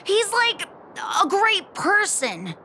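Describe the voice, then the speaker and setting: A woman voicing a bossy, excitable young boy in a kids' gang, theatrical and self-important, for a video game. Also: very high voice, slow pace, a little hiss or hum, exaggerated voice. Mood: worried, urgent. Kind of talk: animated video game voice acting.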